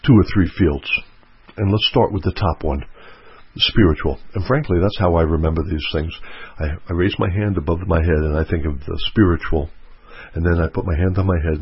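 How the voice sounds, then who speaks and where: A man giving an earnest motivational teaching talk, repeating his key points slowly. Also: sits low, husky, dry recording, lo-fi sound. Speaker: self-help speaker.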